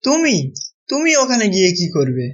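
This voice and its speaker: A man's voice: very high voice, wildly swooping pitch, normal speed, heavily acted. A man voicing a loyal, eager village boy in a cartoon. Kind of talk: cartoon dubbing. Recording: muffled, music behind.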